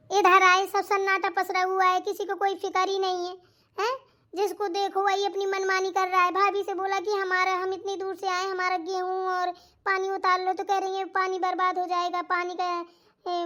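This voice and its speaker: An extremely high, squeaky cartoon voice for a petulant grown daughter visiting her family, acted by a woman. She whines and complains as the wronged sister-in-law of a family comedy. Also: monotone, normal speed, pushed and bright. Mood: aggrieved. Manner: whiny, aggrieved, complaining.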